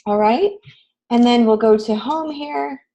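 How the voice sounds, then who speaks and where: A woman with a raspy voice, hoarse from a cold, teaching a live online class in an encouraging, conversational way. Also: a little high, measured pace, dark tone. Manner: encouraging, addresses students with frequent questions. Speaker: online graphic design instructor. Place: online class session, screen shared.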